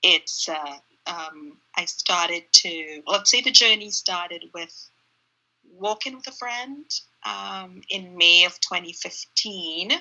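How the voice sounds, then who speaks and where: A middle-aged woman, answering interview questions thoughtfully over a video call on a handheld phone, often pausing with ums. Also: fairly low pitch, bright, forceful tone, lo-fi sound. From Trinidad. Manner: thoughtful, searching for words with frequent ums. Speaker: interview guest, runner. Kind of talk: casual reflective interview answers. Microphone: phone held in hand on a video call.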